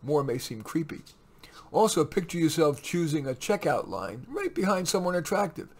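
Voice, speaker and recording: A man in his sixties giving a scripted advice talk to camera in a measured, lightly wry way, recorded on a webcam in a small home office. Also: a little high, very animated, darkish tone. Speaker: host.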